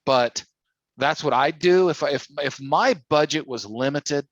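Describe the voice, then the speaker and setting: A man's voice: a little high, measured pace, no echo, dull audio. Dry-sounding man coaching sales. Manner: persuasive, full of rhetorical questions. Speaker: host of a sales training call. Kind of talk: informal sales coaching talk.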